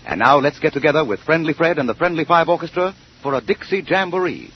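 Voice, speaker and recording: Male American announcer, 1930s radio style, fairly high voice, muffled noisy broadcast sound. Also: fast. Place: radio studio.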